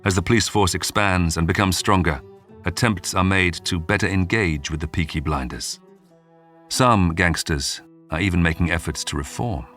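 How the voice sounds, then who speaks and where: A man reading scripted true-crime narration in a measured, dramatic way with suspenseful pauses. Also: deep voice, a bit bright. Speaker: documentary narrator. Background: background music.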